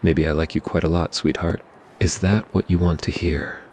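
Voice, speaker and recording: A man's voice: low voice, steady tone, moderate pace, a little mellow, slight background noise, close to an everyday voice. A man voicing a cocky, possessive young mafia boss in an ASMR roleplay, smug and teasing. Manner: smug, teasing, turns flustered.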